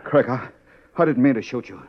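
A man's voice: medium pitch, lively intonation, fairly quick. An actor playing a haughty, scheming male killer who poses as a respectable husband in a 1950s radio drama. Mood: panicked. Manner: haughty, indignant. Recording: dull, lo-fi 1950s radio recording.

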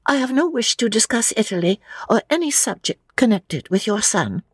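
Novel heroine, put on by a narrator: young, proper, stiff, high-voiced, breathy, indignant. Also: sing-song, moderate pace, no echo, clean studio sound. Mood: cold, indignant.

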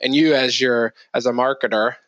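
A man's voice: medium pitch, steady tone, moderate pace, fairly bright tone, no room sound. Man in a casual interview, muffled audio. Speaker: podcast guest, marketing executive.

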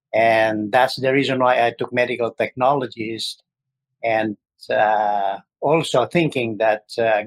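A man from the Philippines in his sixties, a guest telling his story in a casual interview, often pausing with fillers. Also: medium pitch, dry recording, poor audio. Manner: hesitant, frequent uh fillers.

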